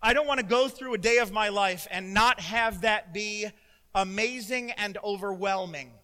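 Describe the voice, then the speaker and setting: A middle-aged man preaching a sermon as pastor, loud and emotional, with self-deprecating humor. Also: high-pitched, normal speed. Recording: long hall reverb.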